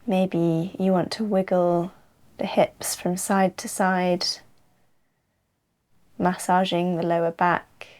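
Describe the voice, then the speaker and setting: A woman leading a guided yoga nidra relaxation as the teacher, in an unhurried, soothing way with long pauses. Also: on the low side, flat delivery, darkish tone. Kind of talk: slow guided relaxation narration.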